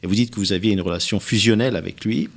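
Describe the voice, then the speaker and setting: A man hosting a radio interview, putting long, deliberate, prepared questions to his guest with some hesitation. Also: on the low side, vocal fry. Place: radio studio.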